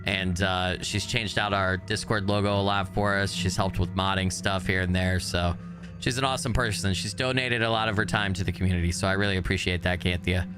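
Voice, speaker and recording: Low-voiced man livestreaming a game. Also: steady tone, brisk. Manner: informal, conversational. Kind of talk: casual live stream chat. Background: game music.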